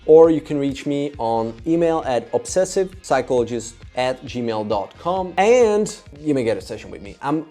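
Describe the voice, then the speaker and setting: A man with a Czech accent, a psychologist talking to his viewers in an energetic, rambling way. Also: medium pitch, normal speed, hardly any pauses, soft background music. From Czech Republic. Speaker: psychologist and YouTuber. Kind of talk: casual talk to camera.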